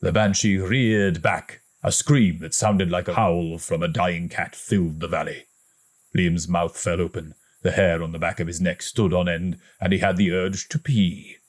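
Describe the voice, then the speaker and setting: A man reading a story aloud as an audiobook narrator, in a dramatic, expressive storytelling manner. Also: sits low, moderate pace. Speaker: audiobook reader.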